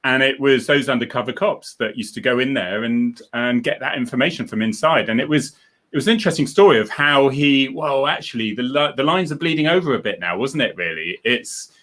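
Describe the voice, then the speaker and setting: A man's voice: medium pitch, lively intonation, brisk, fairly bright tone. A man co-hosting a casual interview, who chats and jokes and laughs often. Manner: jokey, chatty, laughs often.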